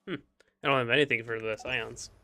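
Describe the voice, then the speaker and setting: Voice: mid-range voice, fairly even intonation, brisk, bright, forceful tone, a little hiss or hum. A game streamer giving casual, joking commentary in a playful, quippy way. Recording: game music behind.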